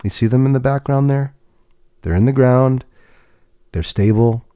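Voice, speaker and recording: A man livestreaming a tarot reading, talking in a gentle, reassuring way with pauses and restarts. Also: mid-range voice, smooth, dry recording, studio quality. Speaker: tarot reader and podcast host. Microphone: webcam setup.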